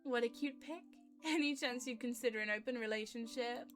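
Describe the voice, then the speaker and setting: A woman's voice: a little high, fairly quick, soft background music, close to an everyday voice. A woman voicing a flirty, gossipy nightclub regular from a visual novel, teasing and breezy. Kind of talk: visual novel read aloud.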